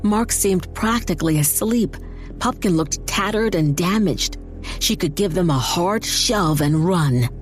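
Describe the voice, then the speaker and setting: A woman's voice: on the low side, animated, a little slow. A woman giving scripted, dramatic narration for a horror audiobook. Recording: music behind the voice.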